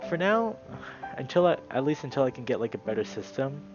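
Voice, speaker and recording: A man streaming a visual novel, moving between read-aloud narration and casual, animated commentary. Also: mid-range voice, a little fast, highs cut off, music in the background.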